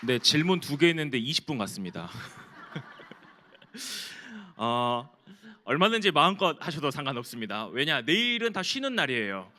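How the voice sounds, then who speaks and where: A man's voice: on the high side, big pitch swings, measured pace, pushed and bright, a faint crowd, slight background noise. A man moderating a church panel talk, playful and chatty as he teases a guest and asks him questions.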